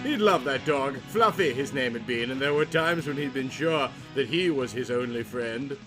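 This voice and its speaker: A man's voice: medium pitch, expressive, normal speed, over music. A man narrating a comic story in a theatrical, mock-serious audiobook style.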